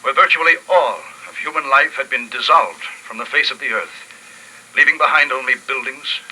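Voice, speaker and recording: An actor playing an earnest, shaken young ecologist who survives alone, narrating a 1950s radio drama with dramatic, halting pauses. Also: male voice, mid-range voice, sharp tone, poor audio. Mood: grave, questioning. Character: lone male ecology student, snakebitten survivor, earnest and shaken. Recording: vintage 1950s radio recording, music behind.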